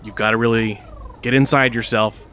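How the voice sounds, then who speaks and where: A man's voice: on the low side, fairly even intonation, normal speed, fairly bright tone, natural voice. A man playing an encouraging yet demanding stage director in a musical audio drama. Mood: encouraging, coaching. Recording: noisy room ambience, faint crowd.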